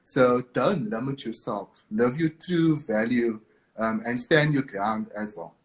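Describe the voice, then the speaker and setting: A man's voice: medium pitch, takes it slowly. A man from Cape Town with a South African accent, a guest speaking earnestly and encouragingly in a live video interview. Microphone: video call.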